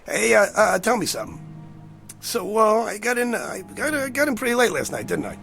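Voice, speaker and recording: An actor playing a brash, rattled, sarcastic man in a radio drama, with a gravelly voice and stammering wisecracks. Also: sits high, sing-song, a little fast, fairly bright tone, soft background music, full character voice. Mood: irritated, confused. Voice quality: gravelly, creaky. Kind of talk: naturalistic radio drama acting.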